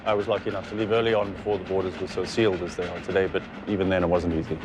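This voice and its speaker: Man playing a formal Russian émigré, fast, low voice, train noise. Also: little pitch movement, natural voice. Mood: polite. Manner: courteous, formal. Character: polite Russian émigré man, courteous and reserved. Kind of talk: period film drama.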